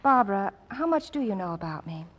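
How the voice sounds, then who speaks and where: An actress playing a gentle, patient and wistful young woman in an old radio drama, tactful and tentative in manner. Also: medium pitch, very animated, brisk, highs cut off. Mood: wistful, guarded. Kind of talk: 1940s radio drama acting. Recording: noisy old radio recording.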